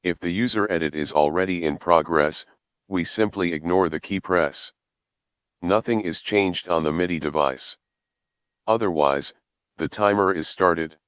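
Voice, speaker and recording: A man with a low, resonant voice narrates a tutorial in measured, formal sentences. The recording is dry and close, with a slightly dull top end. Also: a bit bright, clean tone, no room sound. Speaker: programming tutorial presenter.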